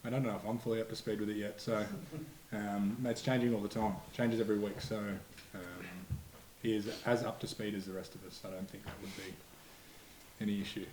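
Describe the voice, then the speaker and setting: Young Aussie rugby player, fast flat delivery, casual press-room answers, echoey room. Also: male voice, fairly low pitch. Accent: Australian. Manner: laid-back, slangy, matter-of-fact.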